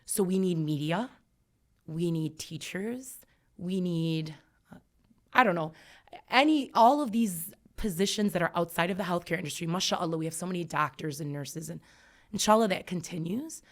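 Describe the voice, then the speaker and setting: A woman's voice: fairly low pitch, lively intonation, normal speed. A woman in her thirties, an educator guest on a podcast, talking reflectively and emphatically with many fillers. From United States. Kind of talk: earnest podcast conversation.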